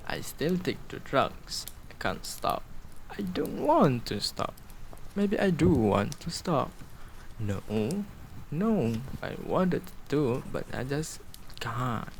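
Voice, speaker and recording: A man's voice: medium pitch, sing-song, takes it slowly, a little hiss or hum, rough recording. An actor playing a manic twenty-year-old male drug addict in an amateur radio drama, rambling and repeating himself erratically. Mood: torn, desperate.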